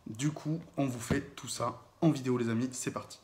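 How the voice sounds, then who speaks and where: A man's voice: medium pitch, fairly even intonation, moderate pace. Young man, casual, echoey room. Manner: hesitant, many fillers and restarts. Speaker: vlogger unboxing a laptop. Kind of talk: casual unboxing chat. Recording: echoey room with faint noise.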